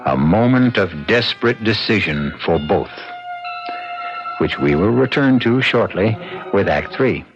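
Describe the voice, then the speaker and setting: Dramatic music plays behind a low-voiced man on a muffled old radio broadcast. He narrates like a mystery host, measured and portentous, reading with theatrical weight. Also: expressive.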